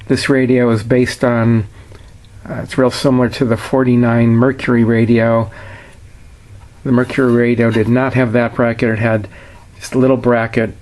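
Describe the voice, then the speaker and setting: A man with a mid-range voice talks at a slow pace with very little rise and fall. He explains things in a plainspoken, matter-of-fact way, as in an informal hands-on product demonstration. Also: medium pitch. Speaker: seller demonstrating a vintage radio. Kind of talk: casual product walkthrough.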